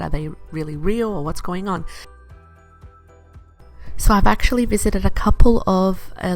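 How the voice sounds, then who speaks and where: A woman from Iraq narrating her vlog in a chatty, enthusiastic way, pausing often with "uh". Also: lowish voice, a little mellow. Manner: chatty, enthusiastic, with frequent "uh" pauses. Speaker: vlogger.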